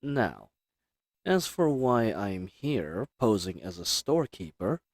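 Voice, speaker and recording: A man playing a cool, confident, flirtatious assassin in a roleplay audio drama, with a smug, teasing, measured delivery. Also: fairly low pitch, sing-song, no echo, clean studio sound, heavily acted. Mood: teasing, warning.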